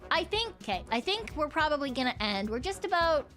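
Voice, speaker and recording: A chatty woman with a fairly high voice and a slight frog in her throat, rambling through a casual stream. She swears easily and laughs along between thoughts, with faint music playing under her. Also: very animated, fairly quick, fairly bright tone.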